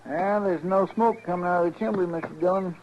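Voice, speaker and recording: A man's voice: high voice, fairly even intonation, brisk, close to an everyday voice. A man playing a folksy, plain-hearted lawman's sidekick in a radio western, grumbling in a homespun rural Western drawl. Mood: sorry, grumbling. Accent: rural American Western drawl. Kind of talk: old-time radio western drama acting. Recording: noisy, muffled old radio recording.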